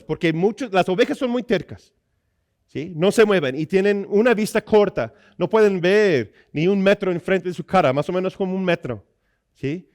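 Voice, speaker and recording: A man from the American Midwest with an American accent, a native English speaker, preaching in an explanatory way with frequent little checking questions. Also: a little high. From Iowa, United States. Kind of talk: sermon.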